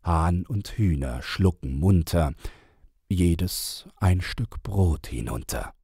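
A man narrating rhyming children's verse as an audiobook, in an expressive, measured storyteller's manner. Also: sits low, slightly rough, no echo, studio quality.

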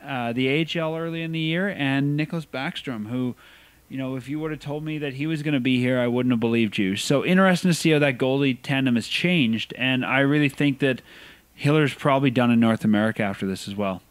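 Guy on a sports podcast, opinionated, gets animated, dry close mic. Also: medium pitch, a little fast, no room sound. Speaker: podcast co-host. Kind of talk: casual sports chat.

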